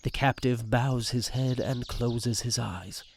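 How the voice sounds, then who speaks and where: A man's voice: medium pitch, steady tone, slow, gravelly, a little hiss or hum. A man narrating an audio drama in a scripted, dramatic present-tense style. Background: music.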